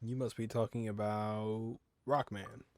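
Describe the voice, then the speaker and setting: A man's voice: on the low side, level tone, a little slow, no echo. A man giving casual playthrough commentary as a gaming streamer, laid-back, with joking asides.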